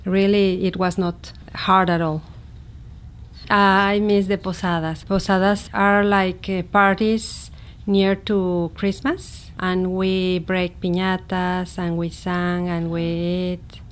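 Mexican lady, native spanish speaker, kinda low voice, simple careful english, sharing her own story in an interview. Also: flat delivery, clear, resonant tone. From Mexico City, Mexico. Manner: simple halting phrasing in learned English. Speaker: interviewee, immigrant teacher. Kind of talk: personal story told in an interview.

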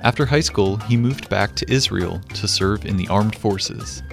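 A man hosting a podcast, reading a scripted introduction in an even, measured way. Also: fairly low pitch, creaky. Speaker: podcast host. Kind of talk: scripted narration. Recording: light background music.

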